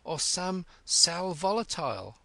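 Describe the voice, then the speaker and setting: A man's voice: high-pitched, very animated, slow, gravelly, sharp tone, dry recording, pitched above the performer's own voice, exaggerated voice. A man voicing a polite, curious young girl in a storybook, with a questioning manner. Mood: prim, curious.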